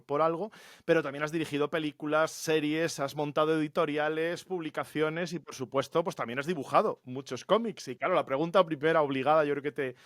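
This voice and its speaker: A male podcast host with an accent from Spain and a slightly high voice. The sound is very dry. He asks questions casually, in a halting, repetitive flow. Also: no room sound. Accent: Spanish from Spain. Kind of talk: casual interview conversation.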